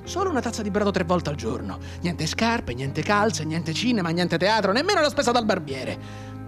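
Un attore che interpreta in un radiodramma un giovane reduce di guerra mutilato, amaro e sardonico, con tono declamatorio e appassionato. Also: male voice, high voice, sing-song, normal speed. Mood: bitter, sardonic. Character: bitter, maimed young war veteran, anguished and sardonic. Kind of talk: dramatic radio theatre monologue. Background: music under the voice.

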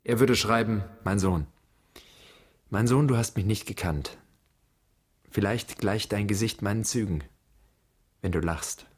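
A man's voice: fairly low pitch, a little slow, pauses often, darkish tone, slightly rough. An actor playing a brooding grown son haunted by a father he never knew, speaking an introspective radio drama monologue. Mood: tender, imagined letter.

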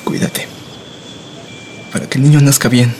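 A man's voice: mid-range voice, fairly even intonation, moderate pace, darkish tone, slight background noise, lightly acted. An actor playing a tender, reassuring young Mexican migrant saying goodbye to his sweetheart in a radio drama.

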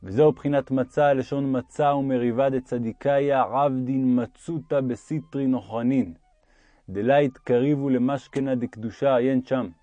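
A man's voice: mid-range voice, steady tone, warm tone, no echo, lo-fi sound, soft background music. A man reading a religious text aloud as an audiobook narrator.

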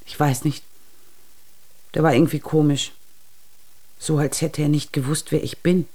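A woman's voice: sits low, fairly even intonation, moderate pace, warm tone, lightly acted. An actress playing a chatty, meddling older mother in a naturalistic radio drama, fussy and coaxing. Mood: puzzled. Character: chatty meddling older mother and wife, well-meaning, insistent.

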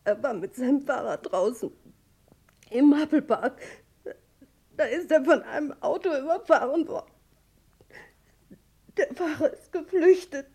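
Distraught mother, high voice, slow delivery, sixties German radio crime play. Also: darkish tone. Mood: shocked grief. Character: Livy, grief-stricken wife and mother. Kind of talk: 1960s German radio crime drama acting.

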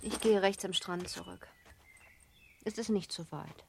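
A woman acting a young baron's wife in a German literary radio drama, genteel, earnest and quietly insistent. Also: on the low side, measured pace, vocal fry, very noisy. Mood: calm, evasive.

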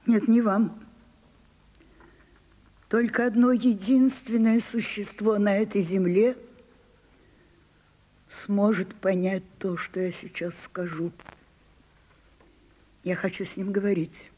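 A woman's voice: mid-range voice, steady tone, slow pace, long pauses, warm tone. An older woman playing an imperious, weary and capricious old French actress in a Soviet radio drama, theatrical and commanding. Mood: theatrical, insistent.

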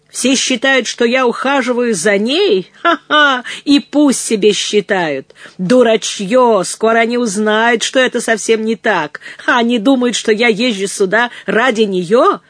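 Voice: medium pitch, lively intonation, a little slow, darkish tone, no echo. A narrator voicing a jealous, passionate male suitor, wheedling and threatening by turns in an audiobook. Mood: angry, passionate. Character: jealous, ardent suitor, menacing then pleading man. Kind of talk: dramatised audiobook reading.

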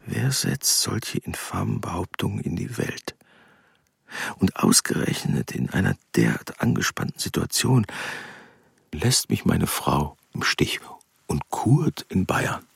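Embittered engineer, very husky, low, suspicious grumbling, radio drama monologue. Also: male voice, lively intonation, slow, no echo, clean studio sound, heavily acted. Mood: indignant, brooding. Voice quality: gravelly, husky half-whisper. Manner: grumbling, indignant. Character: embittered, suspicious German engineer, a family father. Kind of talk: radio play inner monologue.

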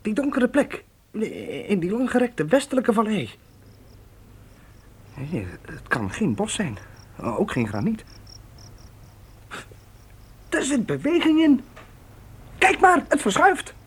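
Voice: medium pitch, wildly swooping pitch, slow pace, pauses often, husky, full character voice. An actor in a Dutch radio play voicing a keen, observant boy on a pioneer trek, marvelling aloud. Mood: alarmed curiosity. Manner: marvelling, exclaiming.

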